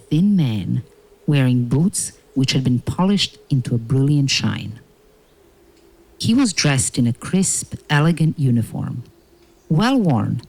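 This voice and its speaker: A man narrating a fairy tale from a script in a measured, dramatic, deliberate way. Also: mid-range voice, darkish tone, poor audio.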